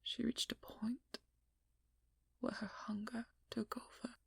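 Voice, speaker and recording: A woman performs a haunted vampire hunter, a determined woman baring her tragic past in an intimate ASMR role-play. Her whisper is very breathy and husky, close and dry. She speaks very slowly, in pained, broken phrases. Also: on the high side, little pitch movement, no echo, full character voice. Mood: anguished, tearful dread. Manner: slow, halting, pained confession in short broken phrases.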